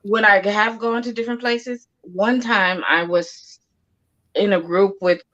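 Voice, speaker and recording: A woman's voice: medium pitch, steady tone, a little slow, fairly bright tone. A woman from Texas with a Texan accent, chatting casually and laughing now and then as she talks. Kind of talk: casual chat. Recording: dull lo-fi audio.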